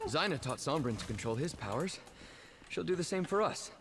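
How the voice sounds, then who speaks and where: A man playing a carefree, confident young twin brother, an animated and teasing video game adventurer. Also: a little high, fairly quick. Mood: excited. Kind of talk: video game character acting.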